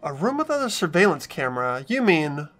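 A man playing an earnest, anxious teenage schoolboy in an anime game fan dub, with an expressive, worried delivery. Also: on the high side, fairly quick, soft background music. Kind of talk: visual novel fan dub voice acting.

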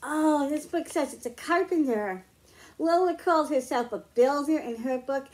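A middle-aged woman reading picture books aloud to young children, explaining slowly and clearly at home. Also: sits high, a little mellow. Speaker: children's librarian. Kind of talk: storytime read-aloud for kids.

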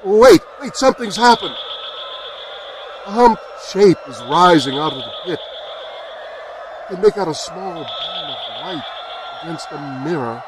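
Slow, halting, horrified radio field reporter. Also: male voice, high-pitched, very animated, creaky, noisy background, heavily acted. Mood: panicked terror. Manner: halting, breathless eyewitness commentary with dramatic pauses. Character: awestruck, increasingly terrified male radio news reporter. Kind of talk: 1930s radio drama acting.

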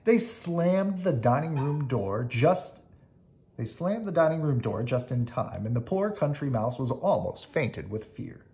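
A storybook reader giving an expressive read-aloud narration for children. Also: medium pitch, a little slow.

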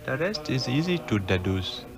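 An actor playing a wise, aged mystic master in an old radio drama, speaking solemnly and formally. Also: male voice, medium pitch, very animated, normal speed, gravelly. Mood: knowing, calm. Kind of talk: 1960s radio drama acting. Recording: old radio drama recording under loud music.

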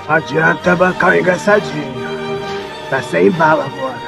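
An actor playing a menacing, bossy gang leader in an audio drama, barking slangy commands. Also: male voice, on the high side, normal speed, gravelly, music in the background. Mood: cocky menace. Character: bossy menacing young male gang leader, armed robber.

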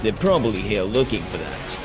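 A man's voice: lowish voice, big pitch swings, fairly quick, music in the background. A man voicing a brash young male action hero in an amateur cartoon. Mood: casual, determined. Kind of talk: amateur animated cartoon voice acting.

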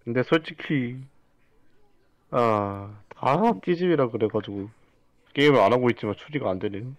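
A man's voice: medium pitch, expressive, normal speed, no room sound. A man playing an online word game, commenting casually over voice chat. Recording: slightly dull, dry voice chat.

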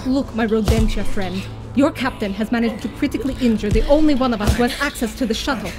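A woman playing a brisk, brave and scornful professor in a space adventure radio play, articulate and commanding. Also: a little high, natural voice. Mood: urgent, firm. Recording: music under the voice.

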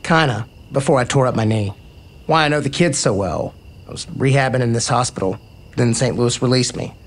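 An actor playing an easygoing, kind, joking baseball player in a naturalistic romantic comedy audio drama. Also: male voice, medium pitch, brisk, close to an everyday voice. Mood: warm, candid. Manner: casual, joking, frank.

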